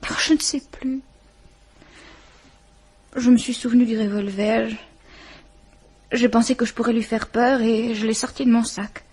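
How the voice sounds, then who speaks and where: An actress playing a distraught woman questioned by a police inspector, speaking haltingly and often near a whisper, in a vintage French radio drama. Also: fairly high pitch, fairly even intonation, darkish tone. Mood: shaken. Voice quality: breathy, trembling. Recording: old radio play recording.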